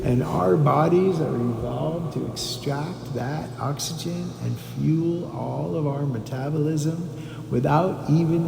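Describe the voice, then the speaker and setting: A man guides a meditation in a mellow voice full of heavy creak. He speaks calmly and very slowly, leaving pauses between instructions. The recording rings with the long reverb of an echoey hall, with some background noise. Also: fairly high pitch. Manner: calm, deliberate instruction with pauses.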